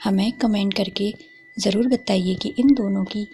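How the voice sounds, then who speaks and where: A woman's voice: medium pitch, fairly even intonation, a little slow, warm tone. A woman storyteller reading a scripted romantic story. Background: faint music.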